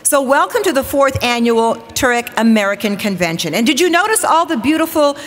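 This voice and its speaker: A woman's voice: sits high, very animated, fairly quick, a little hiss or hum. A woman in her forties, a TV weather reporter, giving an upbeat formal welcome speech from a lectern on stage and rallying the audience. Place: large hall, at a lectern on stage. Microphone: lectern microphone. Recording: long hall reverb.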